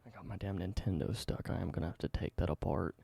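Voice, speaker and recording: A man who makes ASMR videos, doing a whispered roleplay and slipping between a soft whisper and a low, unhurried speaking voice. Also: vocal fry, slightly rough. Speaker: ASMR creator. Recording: noticeable background hiss.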